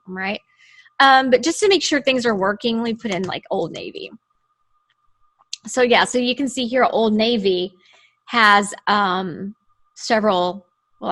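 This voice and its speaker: A woman lawyer from the United States explains her steps over a video call, with a voice a little higher than most. She chats casually, restarting phrases as she clicks through pages. The call sound is plain. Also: a bit bright. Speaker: trademark lawyer. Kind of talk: casual explanatory chat over screen share.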